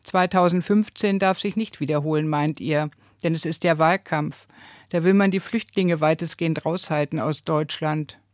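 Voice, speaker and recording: An earnest radio preacher reads a scripted devotional in a medium-pitched voice. The delivery is emphatic, built on short phrases and rhetorical questions. The sound is dry, with no room around it. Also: fairly even intonation, normal speed, dry recording.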